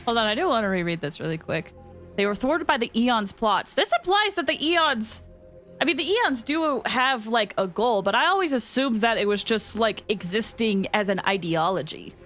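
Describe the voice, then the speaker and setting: A bright, high-voiced woman reacts casually while streaming a game. Her delivery is excitable and extremely animated, tending toward loud outbursts. Faint music sits behind her. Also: moderate pace. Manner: excitable, expressive. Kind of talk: casual livestream reaction commentary.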